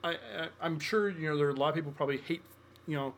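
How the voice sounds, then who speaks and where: A man's voice: fairly high pitch, quick pace, fairly bright tone. A man co-hosting a casual podcast, chatty and teasing. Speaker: podcast co-host. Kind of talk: casual chat.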